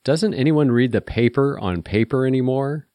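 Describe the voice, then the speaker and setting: A man's voice: medium pitch, animated, moderate pace, mellow, no echo, voice pushed up, natural voice. A man playing a grumpy, sardonic private investigator in an audiobook, dry and wisecracking.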